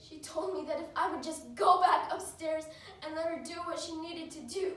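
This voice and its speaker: A high, bright-voiced young woman haunted by guilt and grief , acted out by a woman in a dramatic stage monologue. Her delivery is emotional and deliberate, heard from afar in a very echoey room. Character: grieving, guilt-ridden young woman haunted by brother's murder. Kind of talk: competition dramatic interpretation monologue. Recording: echoey room, far mic, faint noise.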